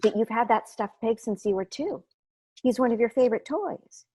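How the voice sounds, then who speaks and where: A woman's voice: fairly high pitch, animated, moderate pace, darkish tone, husky. A middle-aged woman playing a cheerful, caring suburban mom in a comedic table read over a video call. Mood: friendly, casual. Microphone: wired earbuds on video call.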